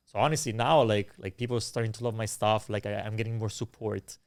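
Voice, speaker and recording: A young man with an Italian accent talks as a musician guest in a loose, animated interview. He leans on fillers and swears freely as he tells stories. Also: on the low side, fast, clear, resonant tone, dry recording. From Italian Canadian. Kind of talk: casual interview storytelling.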